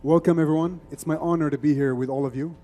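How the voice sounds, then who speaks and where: Fast, higher-voiced man on stage. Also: fairly even intonation, warm tone, faint music behind. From Lebanon, grew up in Saudi Arabia. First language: Arabic. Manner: informal, switches between Arabic and English. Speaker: conference speaker, media executive. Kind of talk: interactive stage presentation to an audience.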